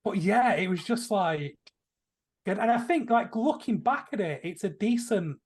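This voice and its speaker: Higher-pitched man chatting on a podcast. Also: animated, unhurried, husky. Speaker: podcast panelist. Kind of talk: casual opinionated chat about films and TV.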